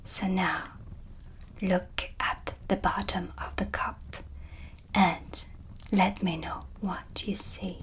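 A woman playing a calm, mysterious dark witch with dry, teasing menace, in a hushed, close-miked ASMR roleplay. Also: fairly low pitch. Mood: mysterious, guiding. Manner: slow, deliberate, hushed, dryly teasing. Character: dark witch, female, mysterious, sardonic, calm teacher of shadow magic. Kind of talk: soft-spoken whispered ASMR roleplay. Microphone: close studio mic for ASMR.

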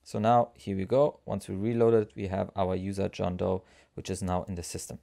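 A man narrating a software tutorial as its presenter, explaining things step by step. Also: deep voice, level tone, normal speed, creaky.

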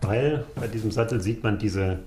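A man's voice: lowish voice, normal speed, dark tone. A man speaking as an archaeology expert and guide, giving factual, spontaneous explanations in an interview on location. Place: on location at a museum site. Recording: echoey on-site sound with background noise.

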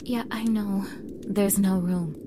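A young woman playing a defensive mean girl with a vulnerable side in an intimate ASMR roleplay, halting and often dropping to a breathy near-whisper. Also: medium pitch, steady tone, natural voice. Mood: tense, awkward. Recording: clearly audible background noise bed.

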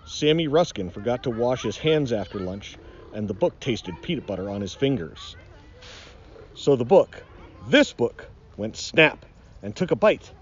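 A man's voice: medium pitch, a little mellow, lo-fi sound. A middle-aged man reading a children's storybook aloud in a dramatic, mock-ominous way. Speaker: nature educator reading aloud.

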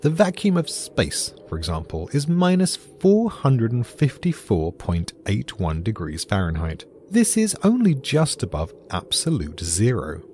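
A man's voice: mid-range voice, huge pitch swings, unhurried, hardly any pauses, a little mellow, slight background noise. A British man giving dry, dramatic scripted podcast narration as the explainer.

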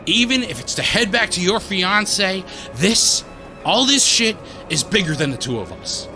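Reluctant horror-drama hero, sarcastic and blunt, highish voice with very lively swings, music underneath. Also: male voice, normal speed, full character voice. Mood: exasperated. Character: cynical, foul-mouthed reluctant hero, brash and exasperated. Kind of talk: horror audio drama acting.

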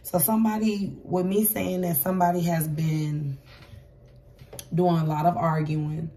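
A hoarse, raspy woman giving a casual tarot reading at a table, chatty and repeating "okay?" to her viewers. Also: lowish voice, flat delivery, a little slow, dark tone, far from the mic. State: hoarse, voice going for days. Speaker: tarot reader. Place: indoors at a table with cards and crystals. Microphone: camera across the table.

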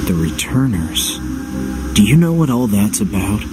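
Game hero: cynical young swordsman, low, gruff and curt, suspicious of everyone, music underneath. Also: sing-song, measured pace. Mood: wary, curt. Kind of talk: video game voice acting. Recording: music behind.

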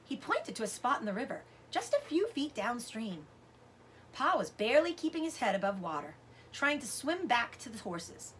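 A middle-aged woman reading a children's novel aloud as a teacher does, with expressive narration. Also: on the high side, normal speed, a little hiss or hum. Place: indoors, room with bookshelves.

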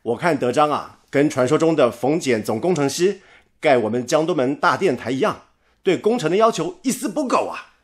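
A man voicing a young radio worker in a radio play who grumbles and jokes. His higher voice bounces animatedly between phrases. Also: moderate pace, close to an everyday voice. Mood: grumbling. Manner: jokey, complaining, lively. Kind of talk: 1930s-set radio drama acting.

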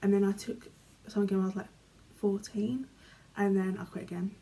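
Young woman, British accent, chatty question-and-answer vlog, relaxed dark tone, small bedroom, camera mic. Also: mid-range voice, a little fast, gravelly, poor audio. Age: young adult. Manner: chatty, filler-heavy, often trails off quietly. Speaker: vlogger. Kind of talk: casual chat, reading questions aloud. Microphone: camera in front, medium framing.

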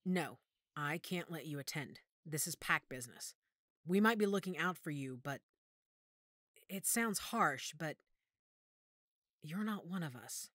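A woman playing a motherly, firm female werewolf pack leader in an audio drama, measured and authoritative. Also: low voice, expressive, fairly bright tone, no room sound, full character voice. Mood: firm, stern.

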